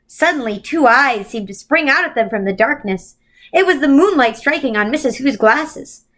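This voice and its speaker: Animated high-voiced woman reading a storybook aloud. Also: moderate pace. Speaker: volunteer storyteller reading to children. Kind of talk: expressive read-aloud of a children's novel.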